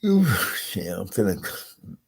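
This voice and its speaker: A man vlogging slowly and rambling, congested with a scratchy throat, repeating "you know". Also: deep voice, very animated, gravelly, slightly rough. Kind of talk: rambling personal vlog.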